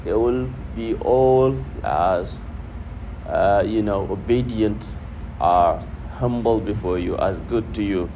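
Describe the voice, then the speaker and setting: Male religious teacher, low voice, conversational and repetitive for emphasis; noisy room with steady hum. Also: slow. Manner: repeats phrases for emphasis, conversational. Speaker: preacher teaching hadith. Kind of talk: informal religious talk to a congregation, quoting Arabic.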